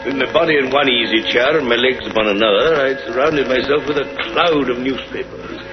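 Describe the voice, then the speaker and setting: An actor playing a genial gentleman companion and storyteller in a 1930s radio drama, formal and measured. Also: medium pitch, over music, close to an everyday voice. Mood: reminiscing. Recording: muffled 1930s radio recording.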